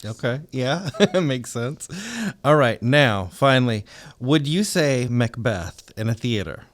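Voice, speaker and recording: Host, animated pitch, quick to laugh, short prompts and "mm-hmm"s. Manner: warm, quick to laugh, brief backchannels. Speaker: podcast host, interviewer. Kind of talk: casual interview.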